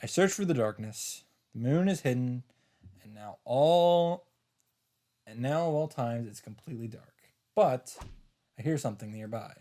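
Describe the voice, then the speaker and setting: A man's voice: mid-range voice, expressive, measured pace, dark tone. A man streaming a visual novel, reading the narration aloud and adding casual asides of his own.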